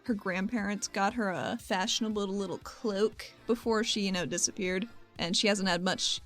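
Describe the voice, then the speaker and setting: A woman's voice: medium pitch, normal speed, fairly bright tone. Casting: female roleplaying gamer, loose conversational delivery, wry, light music bed underneath. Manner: informal, wry, swears casually. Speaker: player in a tabletop game. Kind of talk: casual roleplay chat.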